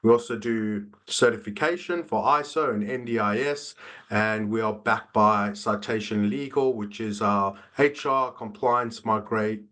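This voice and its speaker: A man with a South African accent hosting a business webinar over a video call, talking in a measured way with frequent fillers. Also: fairly low pitch, a bit bright. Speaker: webinar host. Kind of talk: corporate webinar presentation.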